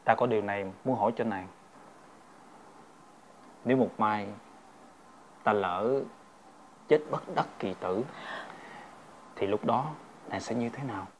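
An actor playing a gentle, earnest husband in a folk-tale period drama, speaking solemnly in formal, old-fashioned address. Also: male voice, on the low side, unhurried, long pauses, a little hiss or hum, natural voice. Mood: thoughtful, gentle. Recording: loud music over the dialogue.

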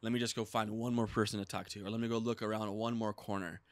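A man in his thirties rambles through a relaxed podcast chat, leaning on filler words and acted-out asides. His voice sits a little low. He is recorded close on a desk mic in a small studio room with very little echo. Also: fast. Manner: rambling, many "like" fillers, animated retelling. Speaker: podcast co-host. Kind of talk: casual chat. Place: small studio room with dark curtain backdrop. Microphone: desk mic on boom arm.

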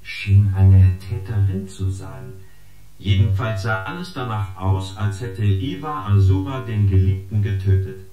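A knowing investigator telling a case in a radio play, echoey with loud guitar under him. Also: male voice, fairly low pitch, lively intonation, measured pace. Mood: knowing, intrigued. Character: knowing male investigator reporting a scandal. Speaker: actor in an audio drama. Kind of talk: dramatised expository storytelling in a radio play. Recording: echoey, guitar music behind. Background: plucked guitar music.

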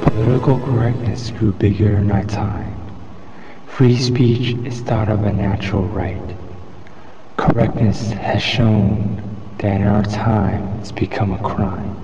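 A man reciting a poem in a solemn, measured, declamatory way. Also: fairly even intonation, over loud music. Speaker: poetry reader. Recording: muffled lo-fi with loud background rumble.

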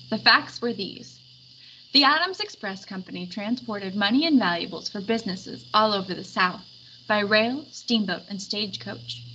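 A woman reading a story aloud as narrator, with expressive scripted delivery. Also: mid-range voice. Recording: dull lo-fi recording with background noise.

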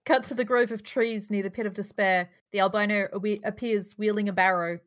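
A woman's voice: mid-range voice, steady tone, fairly quick. A woman reading stage directions as narrator in a live table read, on a home microphone over a video call. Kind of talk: live table read of a screenplay, with casual asides. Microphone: home microphone on a group video call.

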